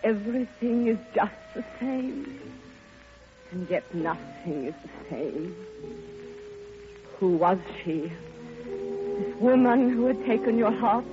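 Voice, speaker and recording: A woman's voice: fairly high pitch, animated. An actress playing a lonely, grieving, devoted woman in a theatrical, impassioned old-time radio monologue. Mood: mournful. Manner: theatrical, hushed, impassioned, drawn-out phrasing. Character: grieving, lonely, devoted woman recalling her beloved friend. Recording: dull old radio recording, loud music.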